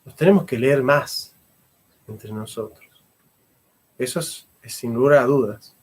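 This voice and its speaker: A man's voice: medium pitch, measured pace, slightly rough. A middle-aged man, a philosopher and history teacher giving a fervent lecture and repeating phrases for emphasis. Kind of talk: impassioned lecture.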